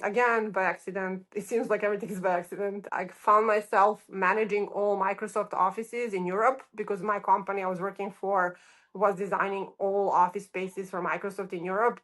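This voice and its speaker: A woman's voice: mid-range voice, steady tone, normal speed, fairly bright tone. A middle-aged woman from Serbia with a Serbian accent, telling her story as an interview guest in an informal, chatty way peppered with "like". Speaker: architect, podcast guest.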